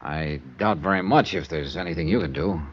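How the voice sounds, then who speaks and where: A man's voice: a bass voice, brisk. An actor playing a wry, world-weary male insurance investigator who narrates his own case in a 1950s radio drama. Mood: subdued. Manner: wry, laconic first-person narration. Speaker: narrator and lead character. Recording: dull old radio transcription.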